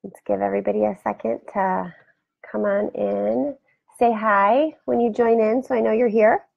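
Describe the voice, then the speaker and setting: A woman hosting a casual live video chat from her home, rambling warmly and greeting viewers by name, her voice scratchy today. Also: medium pitch, steady tone, moderate pace, vocal fry, no room sound, muffled recording. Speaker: host. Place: home room beside a turtle aquarium. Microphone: webcam for a live video.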